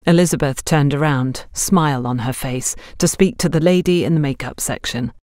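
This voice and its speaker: A woman's voice: deep voice, normal speed, dark tone, no room sound, clean studio sound. A woman reading a scripted story as an audiobook narrator.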